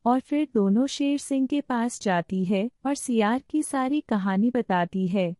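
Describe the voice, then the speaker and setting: A woman narrator reads a scripted children's fable. Her voice sits fairly high and has a soft, mellow tone. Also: a little slow, dry recording, studio quality.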